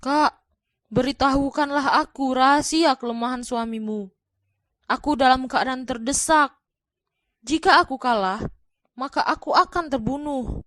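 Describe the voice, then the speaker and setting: Woman voicing a high-pitched pleading young man. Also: unhurried, pauses often, a bit bright, dry recording. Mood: desperate pleading. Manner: pleading, persuasive. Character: desperate, pleading young man, a prince's brother-in-law. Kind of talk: acted dialogue in a cartoon folktale.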